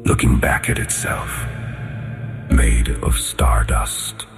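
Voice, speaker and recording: A man delivering solemn, portentous scripted narration in a breathy way over music. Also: extremely low pitch, steady tone, takes it slowly. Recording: loud music bed under voice. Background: loud music.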